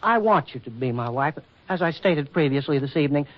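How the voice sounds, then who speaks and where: An actor playing a stiff, earnest government official in love, speaking formally and haltingly in a 1940s radio drama. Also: male voice, fairly high pitch, lively intonation, natural voice. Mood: formal, resolute. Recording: dull 1940s radio recording.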